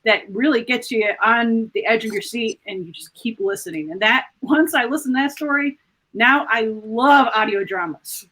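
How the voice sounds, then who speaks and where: Female panelist, slightly high-pitched, casual and enthusiastic fan talk. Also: moderate pace, sharp tone, rough recording. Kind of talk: casual chat, enthusiastic recommendation.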